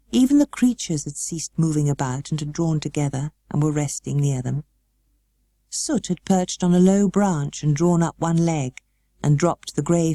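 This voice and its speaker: A woman with a British accent narrating a children's novel as an expressive audiobook reader. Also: low-pitched, dry recording, studio quality. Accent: British English. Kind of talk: expressive scripted storybook narration.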